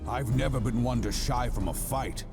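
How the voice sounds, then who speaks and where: A man playing a gruff, troubled warrior leader who speaks with weighty, formal phrasing. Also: mid-range voice, moderate pace, over music, lightly acted. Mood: troubled, exasperated. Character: gruff, battle-ready male leader, troubled.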